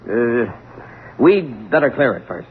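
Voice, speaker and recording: Brusque police sergeant, tinny radio sound. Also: male voice, medium pitch, very animated, takes it slowly. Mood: businesslike. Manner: brusque, sardonic. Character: gruff, skeptical male police sergeant. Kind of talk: vintage radio drama acting. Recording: tinny, noisy vintage radio recording.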